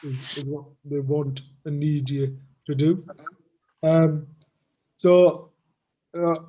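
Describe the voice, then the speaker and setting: A man with a slightly croaky voice speaks slowly and hesitantly, filling his pauses with ums. His tone is mellow, and the audio is dull and lo-fi. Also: fairly high pitch, fairly even intonation. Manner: hesitant, halting delivery with many fillers. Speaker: co-host. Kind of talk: casual business chat.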